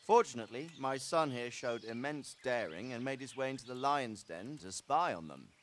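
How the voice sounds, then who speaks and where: An actor playing a calm, proud older nobleman who is a father, in a game cutscene. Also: mid-range voice, normal speed, a little hiss or hum, lightly acted. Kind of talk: video game cutscene acting. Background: music score.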